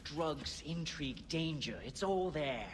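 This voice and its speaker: A man's voice: on the high side, lively intonation, measured pace, in a large hall, slight background noise. A man playing a goofy, rambling British stoner in a video game, jokey and dramatic. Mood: dreamy storytelling. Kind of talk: video game cutscene acting.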